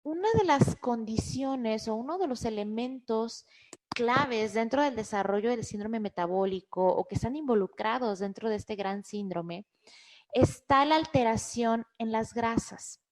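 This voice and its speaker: A woman's voice: fairly high pitch, unhurried, darkish tone. A woman physician, giving a conversational health webinar and making emphatic points with frequent fillers and restarts. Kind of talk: health lecture to an online audience.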